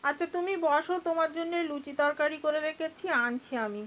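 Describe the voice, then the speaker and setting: A woman's voice: high voice, fairly quick, fairly bright tone, a little hiss or hum. A woman voicing a caring, anxious farmer's wife in a cartoon folk tale.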